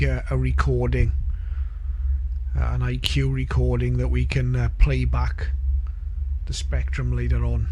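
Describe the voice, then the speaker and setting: A man presenting an informal spoken tutorial, explaining step by step with hesitant pauses. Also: medium pitch, darkish tone, slight background noise, low-quality recording. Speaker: presenter of a shortwave radio tutorial.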